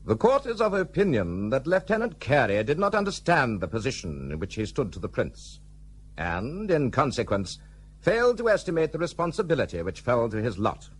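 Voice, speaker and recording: An actor playing a stern, solemn military court president in a radio drama, formal and declamatory, on a faintly noisy recording. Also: male voice, mid-range voice, wildly swooping pitch, moderate pace. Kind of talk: period radio drama acting.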